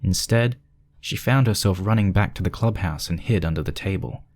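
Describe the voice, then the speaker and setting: A man's voice: deep voice, normal speed, darkish tone. A man reading a scripted horror story as its narrator, in a dramatic storytelling style.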